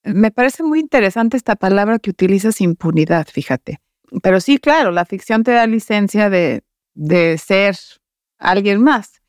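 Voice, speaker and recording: A woman's voice: mid-range voice, expressive, normal speed, darkish tone, dry recording, studio quality. A woman hosting a casual interview in an engaged, informal, affirming way. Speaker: podcast host, interviewer.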